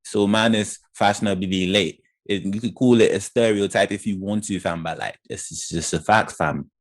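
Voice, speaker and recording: A man's voice: fairly low pitch, moderate pace. A man with a British accent, from Ghana, co-hosting a podcast in a joking, slangy way. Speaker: podcast co-host. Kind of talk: casual banter.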